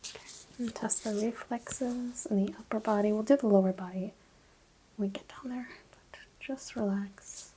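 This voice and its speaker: A woman role-playing a medical examiner in soft-spoken ASMR, murmuring gentle instructions and often slipping into whispers. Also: mid-range voice, steady tone, normal speed, slight background noise, poor audio.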